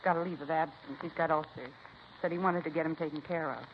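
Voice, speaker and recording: A woman's voice: sits low, steady tone, fast. An American woman playing a chatty, self-assured supermarket cashier in a 1950s radio drama, folksy and emphatic, repeating herself. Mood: confiding, insistent. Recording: muffled, hissy 1950s radio recording.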